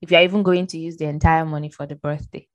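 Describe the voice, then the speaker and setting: A woman's voice: deep voice, fairly even intonation, quick pace, no room sound. A woman hosting a podcast, chatty and laughing readily with her co-host. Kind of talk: casual chat.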